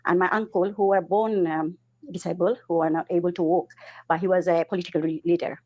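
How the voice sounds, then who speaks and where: Earnest middle-aged woman from Bangladesh, lowish voice, halting delivery. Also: fairly even intonation, a little mellow, highs cut off. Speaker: interview guest, councillor. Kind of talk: personal testimony in interview.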